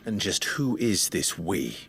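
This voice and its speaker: A grave rebel leader from a fantasy game, with a very low voice. He is measured, stern and resolute, with music behind him. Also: big pitch swings, gravelly, fairly bright tone, heavily acted. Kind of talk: dramatic game dialogue.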